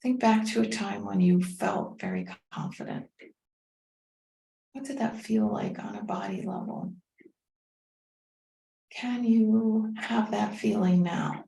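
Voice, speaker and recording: Woman, meditation teacher, soothing and unhurried, soft dark timbre, roomy echo. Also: mid-range voice, very animated, gravelly. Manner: gentle, unhurried, soothing instruction with pauses. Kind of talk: guided meditation. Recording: roomy, echoing sound.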